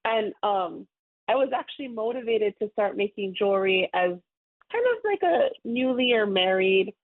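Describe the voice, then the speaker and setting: Friendly rambling woman in her 30s from hawaii, a bit of vocal fry, phone line sound. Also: mid-range voice, lively intonation, normal speed. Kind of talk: casual interview.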